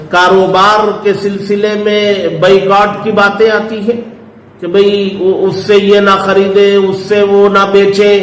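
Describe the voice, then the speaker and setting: An Indian man, a cleric giving a statement at a press meet in a deliberate, halting manner with long pauses. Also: high voice. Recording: echoey room with background noise.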